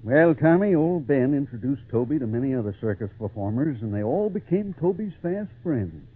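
A man's voice: medium pitch, expressive, moderate pace, a little hiss or hum, lightly acted. A man playing a friendly, folksy storyteller narrating an old-time radio drama for a child. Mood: storytelling. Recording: tinny band-limited 1940s radio recording.

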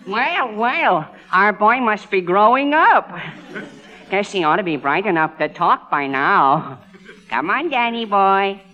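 A man's voice: high voice, very animated, takes it slowly, full character voice. An American man playing a doting, proud father who coos and coaxes in a radio comedy. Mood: doting, coaxing. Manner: cooing, coaxing. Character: doting proud father coaxing his baby. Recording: dull old radio recording.

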